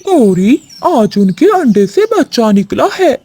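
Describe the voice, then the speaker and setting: A woman's voice: fairly high pitch, very animated, a little slow, dark tone, breathy edge, full character voice. A woman voicing an easygoing, agreeable male crow husband in a cartoon.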